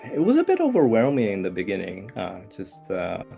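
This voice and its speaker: A man, a composer answering interview questions in a soft, hesitant way with many fillers. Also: on the low side, wildly swooping pitch, a little fast, gravelly, faint music behind. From South Korea. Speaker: guest composer.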